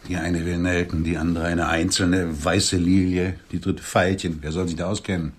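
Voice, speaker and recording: A man's voice: low voice, level tone, moderate pace, slightly rough. A man acting in a radio drama as an aging, lecherous swindler, blunt, wry and world-weary. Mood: wry, courting. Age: older. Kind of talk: intimate radio drama dialogue.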